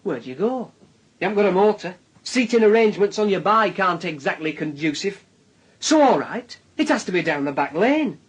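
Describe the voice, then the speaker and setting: A sardonic detective in a radio drama. His husky voice sits high and swings widely as he needles and taunts, leading his suspect along. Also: lively intonation, a little fast. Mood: sardonic, taunting. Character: insinuating police detective, needling a young suspect.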